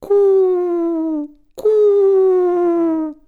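A man's voice: very high voice, steady tone, extremely slow, phone-quality sound, pitched above the performer's own voice, full character voice. A man voicing young boys who imitate animal calls in a children's story. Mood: silly.

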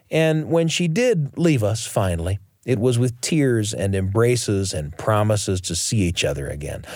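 Expressive male storyteller with animated pitch. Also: dry recording, studio quality. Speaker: radio show host and storyteller. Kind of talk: scripted personal storytelling narration.

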